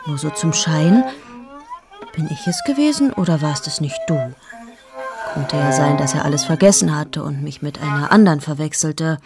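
A woman's voice: sits low, huge pitch swings, moderate pace, music in the background, heavily acted. An actress in her thirties narrating a radio play monologue as a restless female journalist, with intimate, confessional storytelling that often drops to a whisper. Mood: brooding. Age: 30s.

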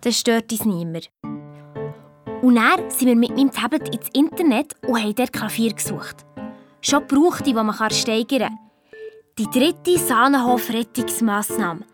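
A practical, sceptical schoolgirl detective speaks in a high voice with a Swiss German accent. She retells events colloquially in a children's radio play, with music clearly underneath. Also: animated, measured pace. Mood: matter-of-fact.

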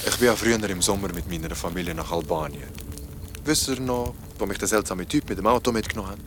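A man's voice: low voice, quick pace, background noise, natural voice. An actor with a Swiss accent playing a grumpy, reluctant young man in an audio drama. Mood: reluctant, narrating. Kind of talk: naturalistic audio drama acting.

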